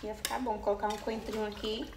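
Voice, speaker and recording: A woman's voice: medium pitch, flat delivery, fast talker. A young Brazilian woman chatting casually to viewers as she cooks in a small home kitchen, often checking in with a "né, gente?". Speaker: cooking vlogger. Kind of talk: casual cooking chat to camera. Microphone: camera on the counter, partly hand-held over the stove. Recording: echoey tiled kitchen, faint background noise.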